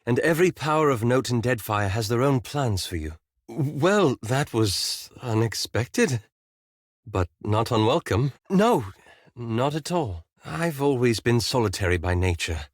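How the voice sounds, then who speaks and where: A guarded elf adventurer from a video game , acted by a man, with a mid-pitched voice and a very dry recording. He chooses formal, careful words and sounds politely reserved, with a hesitant edge. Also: dry recording, close to an everyday voice. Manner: formal, articulate, hesitant and apologetic phrasing. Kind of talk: scripted video game character dialogue.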